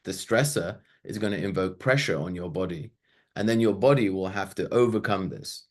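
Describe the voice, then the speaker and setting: Man in his thirties with a fairly low voice; relaxed, chatty presenter who wanders into asides. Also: normal speed. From Sweden. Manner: loose and chatty, with fillers and asides. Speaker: webinar presenter. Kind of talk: casual slide-based webinar talk.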